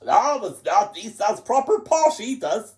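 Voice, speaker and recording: A woman's voice: a little high, big pitch swings, measured pace, darkish tone, voice pushed up. A woman voicing a cheery rustic male scarecrow who has become a little tree, with a broad country dialect and boisterous, chirpy delivery. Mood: cheeky.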